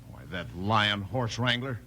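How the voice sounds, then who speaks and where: A man playing a rough, plain-spoken Old West railroad man with folksy Western dialect in a 1950s radio western. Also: lowish voice, measured pace, pushed and bright. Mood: indignant, puzzled. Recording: slightly noisy old radio recording.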